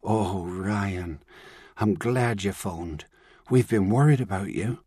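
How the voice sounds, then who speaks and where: A man reading an audiobook, voicing a calm, composed older woman and grandmother who talks in a measured, reassuring way. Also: on the low side, slightly rough, no echo, clean studio sound. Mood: calm, firm.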